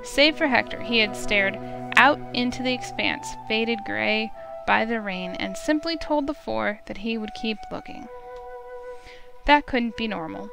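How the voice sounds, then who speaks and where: A woman's voice: fairly high pitch, unhurried, vocal fry. A woman streamer reading a fantasy visual novel aloud in a storytelling narration style. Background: music.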